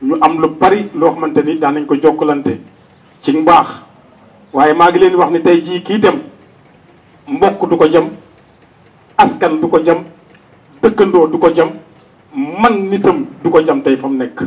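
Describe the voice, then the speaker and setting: A man's voice: a little high, measured pace, pauses often, dull audio. Senegalese man giving a tribute, distant sound. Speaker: eulogist. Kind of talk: public funeral tribute. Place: outdoor funeral gathering. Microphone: handheld-type microphone on a stand.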